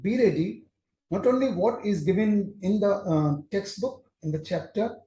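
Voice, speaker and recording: An older man with an Indian English accent, recalling his teaching days in a measured, explanatory way. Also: high-pitched, dark tone. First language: Hindi or Urdu. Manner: measured, explanatory, with frequent restarts. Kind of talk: reflective teaching talk. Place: office, indoors. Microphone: camera on desk, mid-shot. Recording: echoey room with faint hiss.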